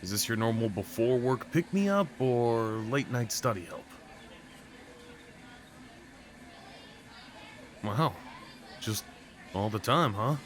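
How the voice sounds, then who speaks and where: A man's voice: mid-range voice, expressive, a little slow, slight background noise, natural voice. A young man acting a shy, sweet, apologetic date in an ASMR roleplay, talking hesitantly to the listener. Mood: playful, teasing. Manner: hesitant, apologetic, rambling, sighs and nervous chuckles.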